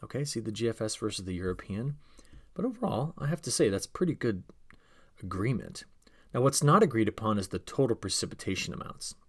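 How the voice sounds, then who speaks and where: A man's voice: lowish voice, big pitch swings, brisk, husky. A man giving a conversational, explanatory weather briefing as the forecaster, talking viewers through maps and checking in with short questions and okays. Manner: instructional, checks in with okay, all right and rhetorical questions.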